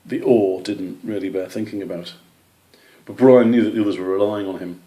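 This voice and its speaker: A man's voice: lowish voice, dark tone, rough recording. A man reading a children's story aloud as scripted narration. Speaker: story reader.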